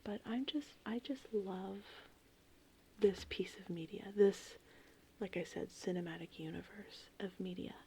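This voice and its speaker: A woman whispering in a casual, meandering, unhurried ASMR ramble, with a breathy, creaky voice. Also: medium pitch, a little hiss or hum. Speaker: ASMR host. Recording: steady rain and thunderstorm ambience. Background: gentle rain and thunder.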